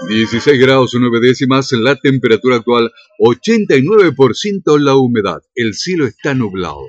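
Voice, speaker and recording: A man's voice: mid-range voice, lively intonation, normal speed, soft background music. An Argentine man reading a scripted local radio news bulletin with time and weather. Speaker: radio newsreader. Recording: dull lo-fi radio sound.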